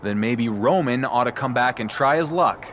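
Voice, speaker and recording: An actor playing a confident, sardonic champion wrestler in a video game cutscene. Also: male voice, medium pitch, animated, normal speed, a bit bright, slight background noise, lightly acted. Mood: defiant. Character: defiant, dry-witted male champion wrestler.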